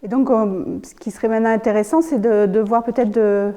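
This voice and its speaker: Woman, tour interviewer, slightly high pitch, soft dark timbre, tentative halting phrasing, unscripted, dry recording. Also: dry recording. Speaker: interviewer guiding the visit. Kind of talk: unscripted guided-visit interview.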